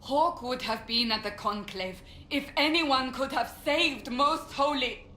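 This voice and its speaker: An actress playing a fierce, zealous warrior in a video game, intense and accusatory. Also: female voice, high voice, a little slow, bright, forceful tone. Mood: bitter, accusing. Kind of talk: video game character acting. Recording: roomy echo, faint noise.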